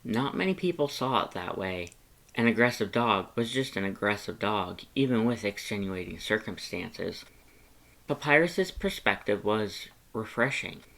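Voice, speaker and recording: A man's voice: fairly low pitch, sing-song, unhurried, gravelly, a bit bright. A man reading scripted story narration, as the narrator of a piece of fiction.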